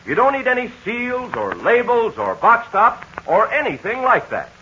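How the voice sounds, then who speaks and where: A man's voice: huge pitch swings, normal speed, bright, forceful tone, slight background noise. Peppy 1940s radio ad announcer, man. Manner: peppy, folksy pitch to kids. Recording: muffled 1940s radio transcription.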